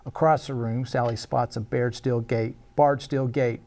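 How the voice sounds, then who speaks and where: A man's voice: mid-range voice, steady tone, a little slow, a little mellow. A man reading stage directions as narrator at a live screenplay table read, in a scripted narration style.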